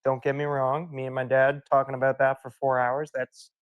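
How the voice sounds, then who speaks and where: A man's voice: mid-range voice, monotone, moderate pace, clear, resonant tone, no room sound, muffled recording. A young man co-hosting a casual podcast, a little drunk, rambling and laughing as he talks. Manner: rambling, laughs often, tipsy. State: tipsy from beer. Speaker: podcast co-host. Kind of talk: casual chat.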